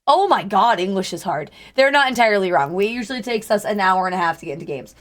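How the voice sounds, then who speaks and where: A hoarse woman streamer chatting casually with her viewers in a put-on Southern US accent, greeting and thanking them by name. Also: mid-range voice, lively intonation, fast talker. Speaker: livestreamer. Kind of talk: casual livestream chat with viewers.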